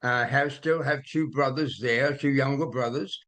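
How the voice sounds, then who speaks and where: Older Australian-accented man, gentle and hesitant. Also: mid-range voice, steady tone, normal speed. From born in London, raised in Australia. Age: 80s. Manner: gentle, many hesitant "uh" fillers. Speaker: interview guest, author. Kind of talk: reflective conversational storytelling.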